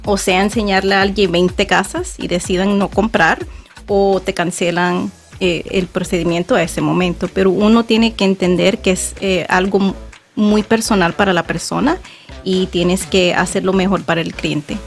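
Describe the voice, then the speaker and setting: Woman realtor, mid-pitched, music behind. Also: flat delivery, a little fast. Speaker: real estate agent, show guest. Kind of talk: casual explanatory interview answers.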